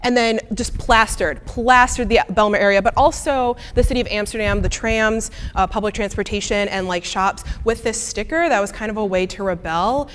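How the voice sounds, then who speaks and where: Young Midwestern woman, student presenter, chatty and halting, average pitch, echoing hall. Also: a bit bright, slight background noise. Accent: Midwestern American. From American Midwest. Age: young adult. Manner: casual, many fillers and restarts. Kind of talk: answering audience questions after a talk. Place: lecture hall. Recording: reverberant lecture hall.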